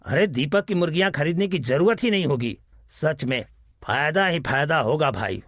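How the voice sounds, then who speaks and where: High-pitched greedy village biryani seller played by a man. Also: animated, moderate pace, fairly bright tone, no room sound, studio quality. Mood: scheming. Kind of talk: Hindi animated moral story dialogue.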